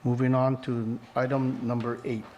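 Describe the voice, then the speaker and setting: A man's voice: medium pitch, level tone, moderate pace, darkish tone, highs cut off, a little hiss or hum. A man chairing a formal board meeting, moving through procedure in a businesslike way.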